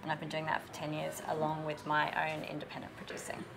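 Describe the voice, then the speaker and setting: A woman, a film producer giving measured, matter-of-fact interview answers. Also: sits low, monotone, vocal fry, crowd noise, low-quality recording. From Tasmania, Australia. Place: seated indoors in a room with a leather sofa. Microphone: lapel mic.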